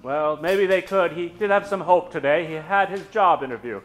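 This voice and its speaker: A man's voice: fairly high pitch, moderate pace. A man narrating an old-time radio comedy as a storyteller. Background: music.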